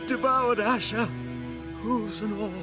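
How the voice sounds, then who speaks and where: An actor playing a frightened, self-pitying peasant trader in a fantasy game, moaning and plaintive. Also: male voice, high voice, steady tone, slow. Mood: fearful. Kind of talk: video game voice acting. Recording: music behind the voice. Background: music.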